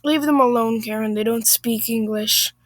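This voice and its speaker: A woman's voice: on the high side, moderate pace, no room sound, clean studio sound, close to an everyday voice. A woman voicing a weary, embarrassed husband in a story, subdued and placating as he scolds his wife.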